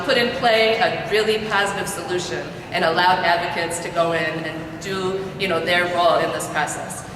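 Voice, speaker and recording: Woman speaking in a very echoey hall. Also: mid-range voice. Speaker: attorney speaking at a press conference. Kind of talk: public press conference statement. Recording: very echoey hall, distant mic, noisy.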